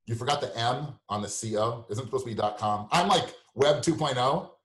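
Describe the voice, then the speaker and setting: A man's voice: mid-range voice, brisk, fairly bright tone. Man hosting an interview, roomy echo. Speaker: show host interviewing a guest. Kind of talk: conversational interview.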